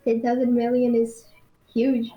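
A woman from Brazil chatting as a guest on a stream, unhurried and a bit hesitant, poking fun at herself. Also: on the high side, monotone, highs cut off. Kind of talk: casual chat.

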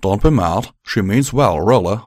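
A man's voice: lowish voice, animated, measured pace, a little mellow, no room sound, studio quality. Man doing a drawling pony buck. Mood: strained. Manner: drawling, strained and emotional. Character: rough-spoken young male pony gunslinger.

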